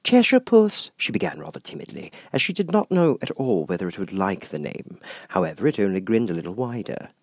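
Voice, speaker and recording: A man narrates a storybook with a lively, varied intonation. His voice is mellow and he takes his time, in a dry, close-sounding recording. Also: low-pitched, fast, no echo. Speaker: audiobook narrator. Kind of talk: expressive scripted storybook narration.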